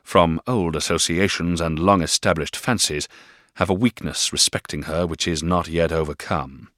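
A man's voice: sits low, steady tone, normal speed, fairly bright tone, dry recording, clean studio sound. A man voicing a stern, domineering middle-aged stepfather who speaks in a grave, clipped, commanding way in an audiobook reading of a classic novel.

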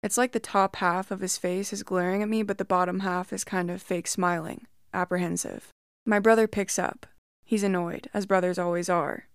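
Calm woman narrating a creepy story. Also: fairly low pitch, moderate pace, smooth, dry recording, studio quality. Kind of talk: reading a first-person creepy story.

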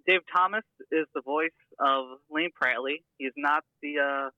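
A man's voice: on the high side, a little slow, sharp tone, dry recording, dull audio. A man leaving a casual, hesitant, rambling voicemail as a listener calling in, recorded over a phone.

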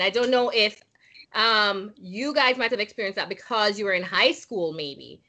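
A woman from Jamaica, casually discussing immigrant life with friends and asking the group questions. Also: a little high. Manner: animated, acts out quotes, asks questions.

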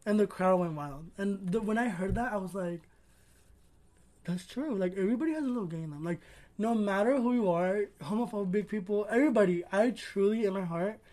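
A man co-hosting a podcast in casual chat, expressive and quick to laugh. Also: high-pitched. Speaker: podcast co-host.

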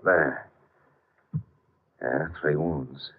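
An actor playing a wisecracking, suspicious hard-boiled private detective in a 1950s radio drama, with a brisk, sardonic delivery. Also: male voice, a bass voice, fairly even intonation, husky, exaggerated voice. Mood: hard-nosed. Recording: muffled lo-fi old radio broadcast.

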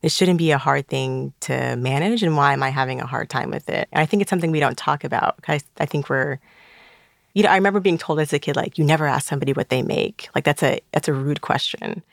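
A woman's voice: sits low, fast, creaky, dry recording, clean studio sound. A woman in her thirties from Trinidad and Tobago, raised in South Florida, answering interview questions in a thoughtful, hesitant way. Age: 30s. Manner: thoughtful, hesitant, laughs easily.

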